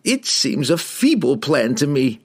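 A man voicing an arrogant, menacing wizard in a fantasy audiobook, slow and imperious as he presses his demands. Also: medium pitch, very animated, husky, voice pushed up, exaggerated voice. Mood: smug, pressing.

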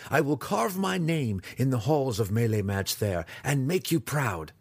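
A man voicing a proud, earnest young dark-elf fighter, raising his voice higher. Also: very animated, quick pace, no room sound.

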